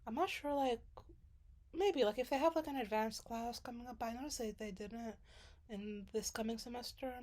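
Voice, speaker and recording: A woman's voice: fairly high pitch, darkish tone. Chatty rambling young woman. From Sudan. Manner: rambling, many fillers and restarts. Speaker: graduate student. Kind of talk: casual chat.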